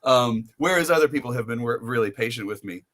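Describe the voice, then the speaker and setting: A man's voice: mid-range voice, animated, quick pace, a bit bright. An American man hosting a casual interview, telling rambling stories full of restarts. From United States. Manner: rambling, storytelling with many restarts. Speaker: podcast host.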